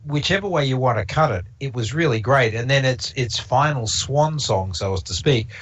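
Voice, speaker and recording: A man's voice: mid-range voice, animated, normal speed, a bit bright, breathy edge. A man who speaks English as a non-native language, presenting a casual observatory talk and rambling with many fillers and restarts. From Canada. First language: not English. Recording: slightly dull lo-fi stream audio.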